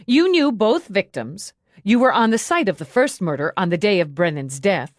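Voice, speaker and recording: A woman's voice: mid-range voice, sing-song, normal speed, no echo, clean studio sound, lightly acted. A woman voicing a hard, relentless female police lieutenant in an audiobook, pressing a suspect with confrontational questions. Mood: accusing. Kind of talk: audiobook character dialogue.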